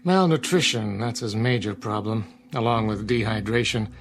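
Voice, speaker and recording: A man's voice: on the low side, lightly acted. A man playing a wry small-town doctor. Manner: measured, wry. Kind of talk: 1960s television drama acting.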